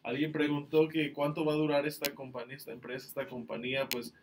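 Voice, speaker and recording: A man from Mexico hosting a live-streamed question-and-answer session, explaining things in a relaxed way with many fillers. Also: medium pitch, fast talker, a little hiss or hum. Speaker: company staff host answering questions.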